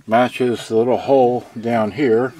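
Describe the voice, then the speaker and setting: Slow, halting man showing gear. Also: medium pitch, steady tone, dark tone. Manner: halting, piecemeal phrasing with pauses. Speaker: hobbyist presenter showing his boombox collection. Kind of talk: unscripted hands-on demonstration. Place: indoor workshop with shelves and benches. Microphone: handheld camera, speaker behind it.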